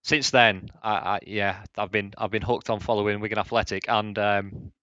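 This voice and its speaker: A man's voice: lowish voice, fairly even intonation, moderate pace, a bit bright, dry recording, dull audio. A man in his thirties from England, co-hosting a podcast chat, hesitant and often repeating words as he speaks. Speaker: podcast co-host. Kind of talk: casual chat.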